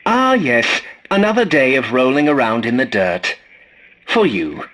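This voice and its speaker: An actor playing a fussy, polite, snarky scavenger robot in a video game, with a prim, formal and dryly sarcastic delivery. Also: male voice, mid-range voice, sing-song, unhurried, a bit bright. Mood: dry, grumbling. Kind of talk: scripted video game character lines.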